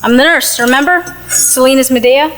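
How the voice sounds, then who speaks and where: Teen daughter played by a woman: high, swooping, sulky and exasperated, audio drama, ringing reverb. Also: brisk, a bit bright, soft background music. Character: moody, exasperated fifteen-year-old girl, rebellious daughter. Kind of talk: contemporary audio play acting.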